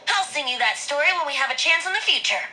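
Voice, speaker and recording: A woman's voice: high-pitched, expressive, fast talker, noisy background. A woman voicing a whimsical, youthful male bard in an anime fantasy game, theatrical and breezy like a storyteller. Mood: knowing.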